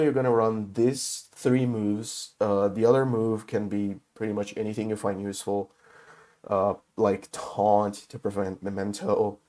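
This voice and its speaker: A man who is sick with a cold, talking through a casual game tutorial in English, his second language, with many pauses and filler sounds. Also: fairly low pitch, warm tone. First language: not English. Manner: halting, many filler uhs. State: has a cold. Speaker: gaming video host.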